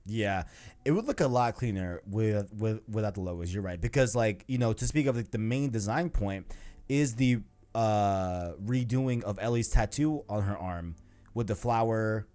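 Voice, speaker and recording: A man's voice: on the low side, moderate pace, warm tone. A man chatting informally and ramblingly as host of a gaming podcast, with slangy asides. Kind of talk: casual gaming podcast chat.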